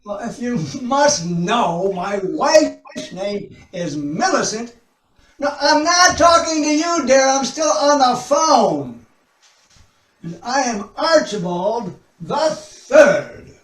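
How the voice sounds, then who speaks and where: A woman playing a flustered, forgetful, pompous old gentleman in a comic readers' theater sketch, stammering and muttering. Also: fairly high pitch, lively intonation, takes it slowly. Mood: exasperated. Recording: roomy, distant online video call.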